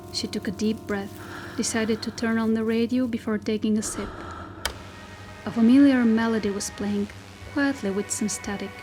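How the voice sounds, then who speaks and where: A woman narrates a literary audio drama in a fairly high voice. Her phrasing is measured and deliberate, and faint music plays behind her.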